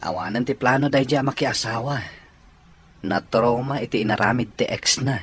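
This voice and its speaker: A man's voice: mid-range voice, moderate pace, husky, lightly acted. An actor playing an earnest, worried young man about to marry, in a radio drama. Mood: earnest, resigned.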